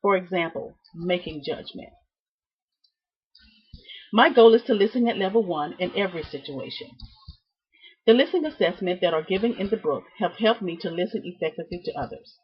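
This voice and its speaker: A woman giving a prepared student presentation, reading from notes with deliberate, emphatic phrasing and halting repeats. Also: fairly low pitch, animated, slightly rough. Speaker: student presenting a class project. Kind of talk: prepared speech, read from notes. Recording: dull, muffled lo-fi sound.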